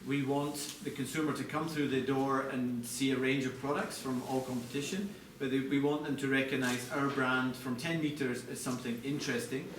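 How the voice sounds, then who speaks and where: Male presenter, design talk, measured explanatory delivery, slightly higher-middle register not needed; distant mic in a reverberant, noisy space. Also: steady tone, fairly bright tone. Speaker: chief designer presenting products. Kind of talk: product design presentation to a live audience. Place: large echoey indoor presentation space. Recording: echoey room, distant mic, background noise.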